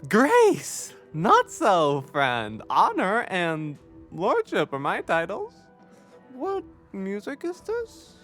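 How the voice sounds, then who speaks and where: An actor playing a fussy, pompous courtly lord who flatters effusively and repeats himself in a Shakespearean audio drama. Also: mid-range voice, extremely slow, soft background music, exaggerated voice. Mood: pompous, puzzled.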